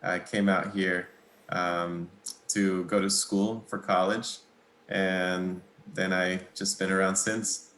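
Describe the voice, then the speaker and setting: A young man from Mexico whose first language is Spanish, answering interview questions in a relaxed, hesitant way with many pauses and small laughs. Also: low-pitched, reverberant, slight background noise. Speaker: guitarist, interview guest.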